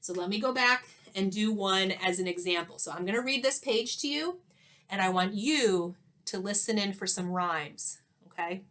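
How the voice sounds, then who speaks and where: A woman teacher reading a children's story aloud expressively, with dramatic pauses and questions to young listeners. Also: mid-range voice, animated, a bit bright.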